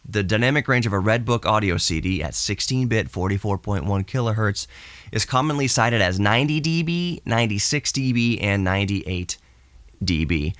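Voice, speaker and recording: Male podcast host, lowish voice, rambling hobbyist explainer, very dry close sound on cassette tape. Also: fairly bright tone, no room sound. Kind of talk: casual technical monologue. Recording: recorded to cassette with tape hiss.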